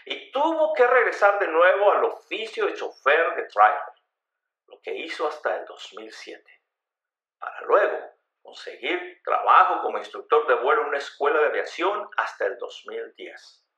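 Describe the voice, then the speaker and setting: A man's voice: fairly high pitch, bright, forceful tone. A man narrating a scripted radio drama in a theatrical, emphatic storytelling manner. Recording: roomy echo on the voice.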